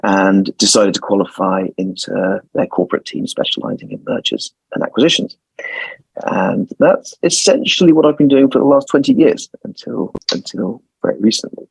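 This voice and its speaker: A man's voice: lowish voice, normal speed, creaky, husky, dry recording, rough recording. A man in his forties from London with a London accent, answering interview questions thoughtfully and often repeating words as he finds his phrasing. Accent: London English. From London, England. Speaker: guest lawyer.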